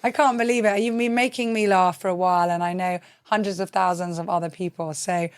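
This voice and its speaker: A woman's voice: lowish voice, fairly even intonation, fairly quick, smooth, no echo, clean studio sound. A woman hosting a podcast, eager and enthusiastic as she welcomes and interviews a guest. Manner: warm, enthusiastic, eager to praise. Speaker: podcast host. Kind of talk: casual interview.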